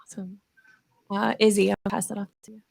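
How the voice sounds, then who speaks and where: A woman's voice: medium pitch, flat delivery, a little fast, vocal fry, darkish tone, husky. A woman moderating a casual live-streamed conversation, passing questions along to guests. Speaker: host, moderator.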